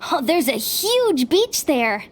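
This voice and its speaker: Young curious companion, high and unhurried, soft music behind. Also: female voice, sing-song, slight background noise, full character voice. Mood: puzzled. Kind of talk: video game voice acting. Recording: game audio with soft music.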